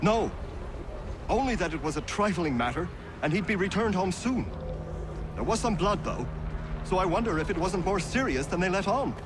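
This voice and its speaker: A man's voice: high voice, expressive, moderate pace, long pauses, noisy background. An actor playing a worried townsman relating what he saw in a game scene.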